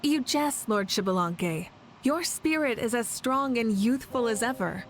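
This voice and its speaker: A woman's voice: a little high, animated, a little slow, soft background music. A woman voicing a confident, composed warrior ruler in a game cutscene, speaking steadily and resolutely. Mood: warm. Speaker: game character.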